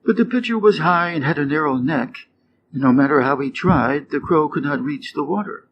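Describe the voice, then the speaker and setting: An American man from the Midwest reading short fables and quiz questions clearly and deliberately for English learners. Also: on the high side, lively intonation. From Wisconsin, USA. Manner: clear, deliberate, rising quiz intonation on answer choices. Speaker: English teacher reading stories. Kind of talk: slow scripted storybook reading for learners. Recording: dull lo-fi sound with faint noise.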